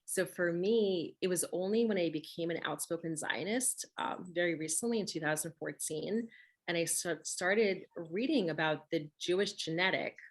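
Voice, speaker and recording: A woman's voice: fairly low pitch, normal speed. A woman who grew up speaking Russian, hosting a conversational interview and talking emphatically, often checking with "right?". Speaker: host.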